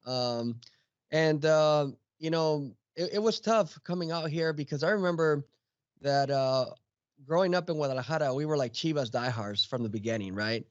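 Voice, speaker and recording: A man's voice: a little high, normal speed, no echo, lo-fi sound. A man in his late thirties from Mexico, a podcast guest chatting casually with many fillers and restarts. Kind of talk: casual chat.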